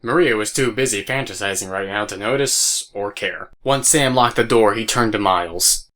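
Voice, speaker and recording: A man's voice: on the low side, normal speed, fairly bright tone. A man narrating a scripted audio drama story. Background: faint music.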